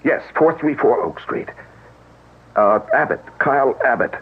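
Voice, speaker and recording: A man's voice: mid-range voice, sing-song, a little slow, very noisy. An actor playing a level-headed husband who reassures his wife, in a radio drama. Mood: urgent. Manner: reasoning, reassuring, then urgent. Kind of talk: 1970s radio drama acting. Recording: tinny lo-fi old radio broadcast.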